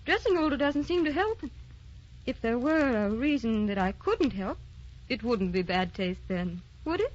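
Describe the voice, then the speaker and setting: An actress playing a gentle, youthful wife with an earnest, anxious manner in an old radio drama. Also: female voice, a little high, sing-song, moderate pace. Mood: hurt, anxious. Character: gentle young-looking wife, anxious, secretive about her youth. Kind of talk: 1940s radio drama acting. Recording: dull vintage radio recording.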